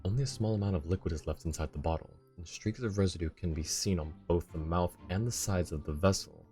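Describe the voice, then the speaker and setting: Casting: low-pitched man as a wry livestream gamer, off-the-cuff commentary and read-aloud, soft music behind. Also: fast. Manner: wry, rambling asides. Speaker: game streamer. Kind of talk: casual live gameplay commentary, reading dialogue aloud.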